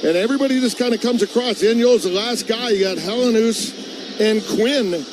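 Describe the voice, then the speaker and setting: Male colour commentator, high voice, colloquial halting delivery, live over arena noise. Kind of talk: live sports analysis. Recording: clear arena noise behind. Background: hockey arena noise.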